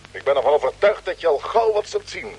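A man playing a brisk, authoritative captain of a space fleet in a radio play, giving clipped orders. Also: on the high side, expressive, a little mellow, slightly rough. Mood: commanding. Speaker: radio drama actor. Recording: noisy old radio drama recording.

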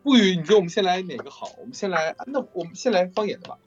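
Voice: mid-range voice, animated, very fast, muffled recording, soft background music. A playful voice actor from Qingdao, China, chatting casually with castmates and laughing often. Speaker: voice actor in an audio drama. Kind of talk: casual group chat at an audio drama cast event.

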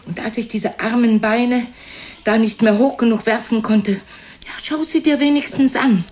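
A woman's voice: fairly high pitch, a little slow, darkish tone, lightly acted. An actress playing a proud, reproachful elderly mother in a radio play, scolding and accusing in a dramatic manner. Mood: aggrieved. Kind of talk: German radio play acting.

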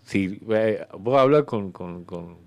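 A man with a Rioplatense Argentine accent playing God as a hesitant, bureaucratic afterlife clerk in radio comedy, rambling with searching pauses. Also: lowish voice, expressive, no room sound. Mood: patient, rambling. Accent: Rioplatense Argentine Spanish. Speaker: comedy actor in a radio sketch. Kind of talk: improvised radio comedy dialogue.

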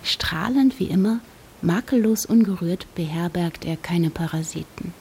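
An actress playing a contemplative, sceptical woman gazing at the moon in a literary radio monologue, speaking in a measured, musing way. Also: fairly low pitch, a little mellow, slight background noise. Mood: quietly musing.

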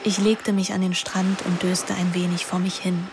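An actress playing a wry, restless teenage daughter who narrates a literary radio play in an intimate, introspective manner. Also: female voice, on the low side, flat delivery, normal speed, background noise, lightly acted. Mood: pensive. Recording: music behind the voice.

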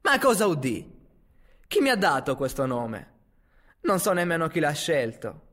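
A man playing a troubled twenty-year-old searching for his lost father in an audio drama, halting and questioning in slow monologue. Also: on the high side, sing-song, a bit bright, full character voice. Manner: halting, questioning, drawn-out phrases. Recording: voice over prominent music.

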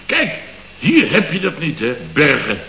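An actor playing a pompous, boastful building-firm boss in a 1960s radio comedy, rambling and self-satisfied. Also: male voice, fairly high pitch, huge pitch swings, unhurried, gravelly, sharp tone, slight background noise, heavily acted. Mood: rambling musing. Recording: dull, echoey old radio studio recording.

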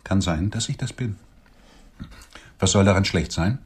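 A man's voice: low-pitched, unhurried, slightly rough, heavily acted. A man acting in a radio drama as an aging, lecherous swindler, blunt, wry and world-weary. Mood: wry, courting. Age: older. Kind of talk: intimate radio drama dialogue.

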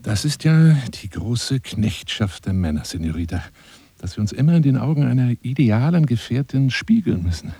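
An actor playing a lonely, self-dramatizing hunter in a radio drama monologue, wooing a woman in a courtly, theatrical, husky voice that drifts into whispers. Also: mid-range voice, lively intonation, moderate pace, warm tone, no room sound. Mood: wistful, pleading. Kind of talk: radio drama monologue as one side of a phone call.

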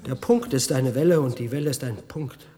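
An actor playing a showy physics guide, lecturing a tour group in a declamatory, explanatory way in a radio play. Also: male voice, mid-range voice, lively intonation, fairly quick, dark tone, natural voice.

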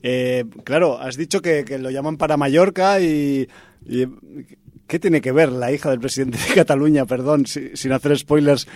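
A man co-hosting a radio chat, tossing in quick remarks and playful questions. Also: medium pitch, darkish tone, no echo, studio quality. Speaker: radio co-host. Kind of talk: casual chat.

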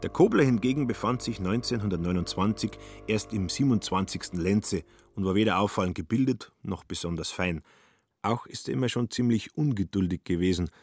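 A man's voice: lowish voice, a little fast, husky. A man narrating a literary radio play with dry, ironic storytelling.

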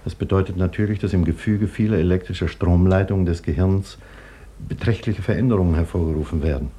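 A sober male scientist tells of a tragedy in a measured, explanatory way. His voice sits on the low side with a husky edge. It is 1950s radio drama narration, grave and deliberate. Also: dark tone. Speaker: scientist and doctor narrating his own story.

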